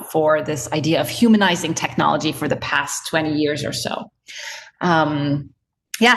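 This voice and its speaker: A woman's voice: low voice, fairly bright tone, a little hiss or hum. A woman from Egypt, a tech entrepreneur answering panel questions over a video call in an earnest, explanatory way.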